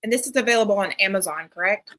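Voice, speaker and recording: A woman's voice: medium pitch, fast talker, fairly bright tone. A woman hosting a podcast, asking her guest questions in a friendly, slightly hesitant way. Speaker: podcast host. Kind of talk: interview questions.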